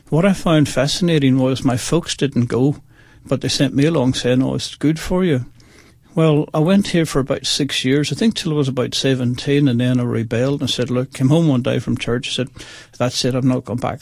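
A man's voice: mid-range voice, creaky, a little mellow, no room sound. A man in his sixties with a Northern Irish accent, telling his life story as a radio guest in an earnest, chatty way. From Northern Ireland. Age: 60s. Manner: chatty, earnest, addresses listeners directly. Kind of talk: personal testimony, casual storytelling.

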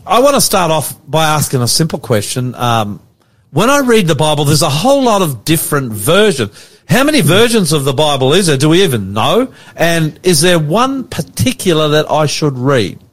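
A man's voice: on the high side, very animated, normal speed. A man hosting an interview, asking simple, plain questions and stumbling a little at times. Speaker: interviewer, host.

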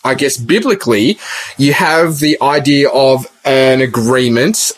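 A man co-hosting a conversational radio discussion, explaining things in measured phrases. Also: medium pitch, rough recording. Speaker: radio co-host.

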